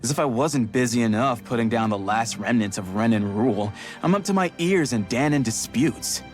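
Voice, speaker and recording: A man voices a harried, grumbling leader from a video game, overworked and exasperated. His mid-range voice swings widely in pitch. Faint music runs behind it. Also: lively intonation, normal speed, lightly acted. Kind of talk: video game cutscene voice acting.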